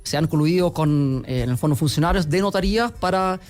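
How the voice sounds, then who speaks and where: German-accented man, higher voice. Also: a little fast. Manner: hesitant, many fillers and restarts. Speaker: guest, company executive. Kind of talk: radio interview answers.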